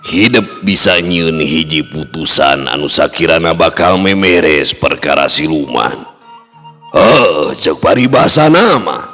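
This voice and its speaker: A man voicing a wise, authoritative old jinn king who gives slow, solemn fatherly counsel in a Sundanese tale. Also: sits low, pushed and bright, full character voice. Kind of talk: Sundanese storytelling character voice. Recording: long hall reverb, music underneath.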